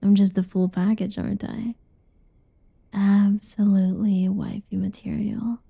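Young woman, soft teasing ASMR whisper, slow, gravelly fry, dry close mic. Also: mid-range voice, flat delivery, no room sound, lo-fi sound. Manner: playful giggles between lines. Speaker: roleplay narrator. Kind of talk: ASMR roleplay.

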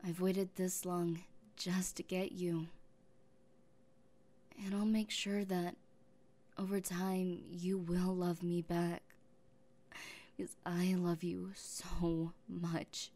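A young woman playing an obsessive, possessive young woman who has taken the one she loves, speaking softly and haltingly and often dropping into whispers. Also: lowish voice, flat delivery, full character voice. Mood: tender. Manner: soft-spoken, halting, drifting into whispers. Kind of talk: audio roleplay.

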